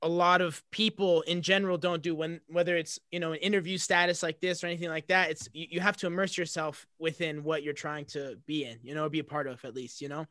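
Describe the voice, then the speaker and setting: Bright-voiced, upbeat male host. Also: fairly high pitch, fairly even intonation, fast, few pauses, no echo, clean studio sound. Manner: upbeat, laughs a lot, repeats words. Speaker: podcast host. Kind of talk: casual interview.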